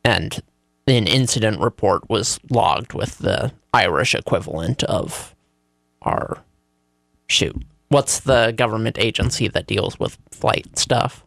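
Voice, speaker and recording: A man's voice: lowish voice, lively intonation, slow, gravelly, fairly bright tone, no echo. A man co-hosting a casual radio chat, presenting news in a wry, conversational way.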